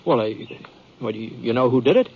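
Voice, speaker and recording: A man's voice: mid-range voice, lively intonation, brisk, gravelly, a little hiss or hum. An actor playing an easygoing, folksy cowboy hero who tells his tale with a hesitant drawl in a 1950s radio western. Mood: shocked. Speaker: lead actor and narrator. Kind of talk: 1950s radio western acting, first-person storytelling. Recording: dull 1950s radio recording, music behind.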